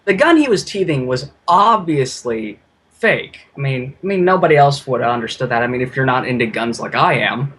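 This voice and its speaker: A man's voice: mid-range voice, animated, normal speed, fairly bright tone, husky. A man in casual conversation, talking in an informal, effusive and rambling way. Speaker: podcast guest and YouTuber. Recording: noisy, ambient background noise.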